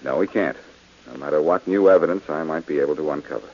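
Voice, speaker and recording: Play a calm, wry detective, low and husky, swinging pitch; old radio sound. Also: male voice, fast talker, heavily acted. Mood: firm. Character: sharp reformed detective hero, man. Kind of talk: 1940s radio acting. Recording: dull old radio recording.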